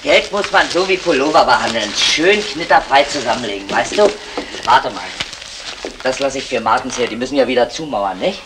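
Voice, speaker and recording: An actor playing a gallant, cheeky burglar with a mock-courtly, talkative manner in a 1960s German crime radio play. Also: male voice, mid-range voice, expressive, normal speed, lightly acted. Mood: cocky, relaxed. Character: smooth-talking, gallant male burglar, cheeky and unflappable. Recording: noisy vintage 1960 radio recording.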